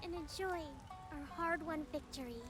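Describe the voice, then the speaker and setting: A woman playing a gentle, dutiful young priestess leader, polite and weary, in an anime game. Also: sits high, normal speed, over loud music, natural voice. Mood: earnest, formal.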